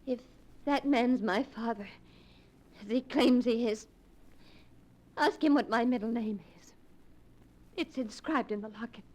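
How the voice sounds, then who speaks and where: An actress playing a desperate, frightened young heiress in 1940s radio melodrama, breathless, halting and urgent. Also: female voice, a little high, a little mellow. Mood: desperate pleading. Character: terrified, desperate young heiress pleading and narrating her ordeal. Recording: noisy 1940s radio broadcast.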